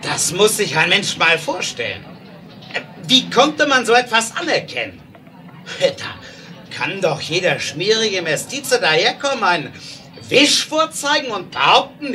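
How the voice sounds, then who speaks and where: An actor playing a hot-tempered, blustering American railroad magnate in a 1970s German radio play, exclamatory and given to flustered stammering. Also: male voice, high-pitched, big pitch swings, slow, sharp tone, a little hiss or hum, full character voice. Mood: scornful, incredulous.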